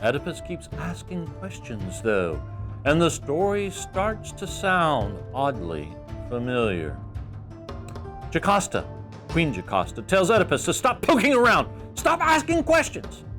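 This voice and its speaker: A man narrating as a theatrical storyteller, playful, with dramatic pauses and comic asides. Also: fairly high pitch. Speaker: narrator introducing the play. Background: music.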